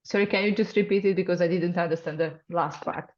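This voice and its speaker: A woman with a Hungarian accent talks earnestly over a video call, now and then pausing to find the right English word. Her voice sits fairly low, and the call audio is dull and lo-fi. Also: fairly even intonation, a little mellow. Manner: earnest, searching for words in English. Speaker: guest scientist, associate professor. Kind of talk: casual interview answers.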